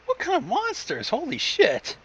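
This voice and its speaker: Co-host: male, lively high pitch, casual blunt commentary, swears freely. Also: moderate pace. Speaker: co-host reacting to a fan fiction reading.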